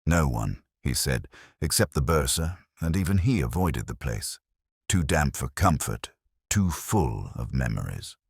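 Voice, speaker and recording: A man with an extremely low voice narrates a detective story in the first person. His delivery is measured and literary, with expressive rises and falls in pitch. The recording is close and dry. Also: vocal fry, slightly rough, dry recording.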